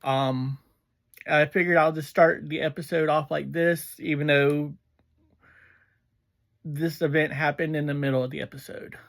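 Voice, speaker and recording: A reviewer hosts a casual show in a medium-pitched voice. The delivery is very slow and halting, with frequent breaks in the middle of sentences. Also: little pitch movement, vocal fry. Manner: halting, pauses often mid-sentence. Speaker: reviewer and host of a review series. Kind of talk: casual episode review to camera.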